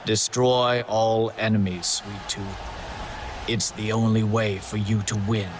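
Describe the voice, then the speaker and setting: An actor playing a suave, gleefully morbid contest master, briefing players in a video game with deliberate, silky menace. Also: male voice, fairly low pitch, fairly even intonation, background noise, natural voice. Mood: morbid pride. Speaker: contest host. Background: music.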